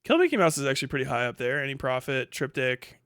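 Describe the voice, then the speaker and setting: A man hosting a casual, unscripted livestream, talking bluntly with profanity, muttering asides and reading things out loud as he goes. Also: mid-range voice, very animated, fast talker, clear, resonant tone, no room sound, clean studio sound. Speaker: livestream host. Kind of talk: reads names, stats and posts aloud.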